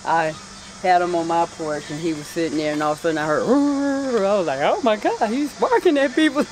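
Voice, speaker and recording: A woman's voice: fairly low pitch, brisk, darkish tone, slight background noise, low-quality recording. A woman on an outdoor porch telling a casual, chatty story, repeating herself as she goes.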